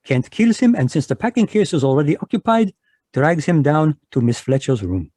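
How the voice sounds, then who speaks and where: A man voicing a fussy, precise Belgian detective, speaking in a measured, lecturing way in a live online radio drama. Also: fairly high pitch, big pitch swings, dark tone, no room sound. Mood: explaining.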